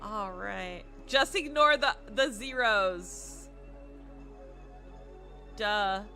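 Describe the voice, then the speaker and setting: Bright-voiced woman streamer over music. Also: mid-range voice, lively intonation, drawn-out words. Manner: playful, chatty, self-deprecating. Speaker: livestreamer and VTuber reading a visual novel aloud. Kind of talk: casual stream chat mixed with expressive read-aloud narration. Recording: music playing behind the voice. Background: game music.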